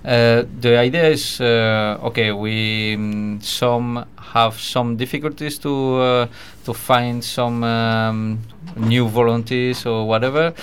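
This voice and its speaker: A man from the Country, speaking English as a guest in a radio studio, halting with many fillers and restarts. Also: medium pitch, steady tone, slight background noise. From Basque Country. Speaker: project coordinator, radio guest. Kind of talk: casual studio chat.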